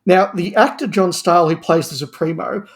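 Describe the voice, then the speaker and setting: A man in a casual podcast discussion, talking as a guest panellist and telling things in an animated way. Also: high-pitched, normal speed.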